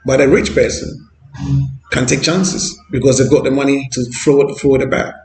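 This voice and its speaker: A man's voice: medium pitch, fairly even intonation, moderate pace, gravelly, darkish tone, echoey room, faint music behind, low-quality recording. Earnest Ghanaian man vlogging outdoors. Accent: Ghanaian English. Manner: earnest, repetitive, with fillers. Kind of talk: walking street vlog. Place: outdoors on a street.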